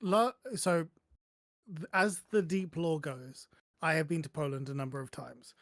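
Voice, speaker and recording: A man with a somewhat high-pitched voice gives casual explanations as a podcast panellist. He stops and restarts, correcting himself along the way. The sound is very dry, with no room to it. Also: steady tone, dry recording. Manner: halting, self-correcting.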